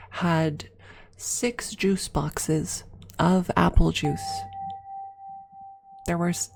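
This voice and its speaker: A woman streamer telling a story in a soft, breathy ASMR style, speaking haltingly and often slipping into a whisper close to the microphone. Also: deep voice. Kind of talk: soft-spoken ASMR storytelling to a live chat.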